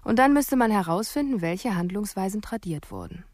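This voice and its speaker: An actress playing a candid female psychologist narrating her memories in a radio drama, measured and matter-of-fact. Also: mid-range voice, expressive, darkish tone, dry recording, clean studio sound, natural voice. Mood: pondering. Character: reflective, candid female psychologist recalling a case.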